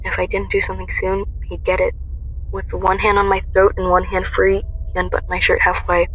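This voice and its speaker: A woman's voice: mid-range voice, completely flat delivery, a little fast, muffled recording, a little hiss or hum, natural voice. A woman playing a young heroine who recounts her ordeal in intense first-person dramatic narration. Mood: tense intense.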